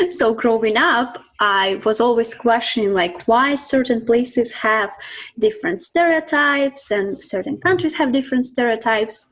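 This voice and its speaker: A woman from Siberia, a guest talking reflectively about her life and work, often pausing with ums and restarts. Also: fairly high pitch, fairly bright tone, low-quality recording. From Russia (Siberia). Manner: reflective, thinks aloud with many ums and restarts. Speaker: guest, mindset coach. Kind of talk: casual interview talk.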